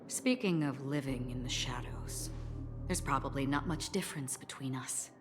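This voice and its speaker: An unflappable, quietly dangerous woman in a video game. Her voice sits low and she speaks with measured, deadpan confidence, dry and pointed. Music plays clearly behind her. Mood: cool, probing. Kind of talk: dramatic video game voice acting.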